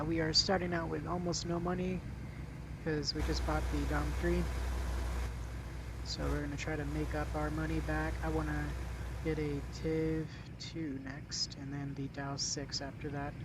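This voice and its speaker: A man hosting a casual gameplay commentary, talking in a relaxed, hesitant way while getting over a cold with a slight cough. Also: on the high side, noisy background. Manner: relaxed, hesitant, many ums and restarts. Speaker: gaming channel host.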